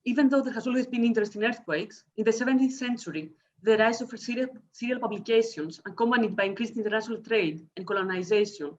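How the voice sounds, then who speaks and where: A woman with a Greek accent presenting an academic paper over a video call, talking fast with hesitant fillers and self-corrections. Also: fairly high pitch, a little mellow, highs cut off, a little hiss or hum. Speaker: conference speaker.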